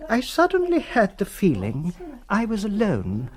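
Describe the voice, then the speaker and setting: A halting, hesitant priest. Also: male voice, high voice, wildly swooping pitch, a little mellow, heavily acted. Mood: hesitant, concerned. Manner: hesitant, halting, full of uh's. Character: kindly, hesitant older priest.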